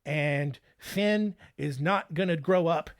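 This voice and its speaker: A man's voice: fairly high pitch, takes it slowly, no room sound. Casual male podcast host, joking and playful, dry close mic. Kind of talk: casual solo podcast chat.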